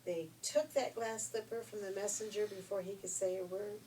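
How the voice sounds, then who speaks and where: An older woman telling a fairy tale informally, in a folksy, expressive, chatty way. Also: medium pitch, moderate pace. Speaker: storyteller. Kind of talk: informal read-aloud fairy tale storytelling with asides. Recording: distant mic with faint background noise.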